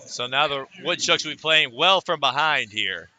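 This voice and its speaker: A man's voice: a little high, lively intonation, normal speed, sharp tone, slight background noise. A man calling a baseball game as a play-by-play announcer in a live radio commentary style.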